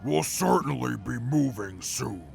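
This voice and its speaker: Guy doing a pompous bookish type, super gravelly, slow measured delivery, audio drama. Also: mid-range voice, animated, darkish tone, soft background music. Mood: haughty. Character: haughty, proud, bookish male radio interviewer with a temper.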